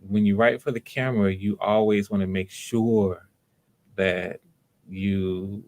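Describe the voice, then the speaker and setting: Gravelly low-pitched male audition host. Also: fairly even intonation, slow, a little mellow. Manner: informal, encouraging, repeats phrases. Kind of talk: casual livestream chat.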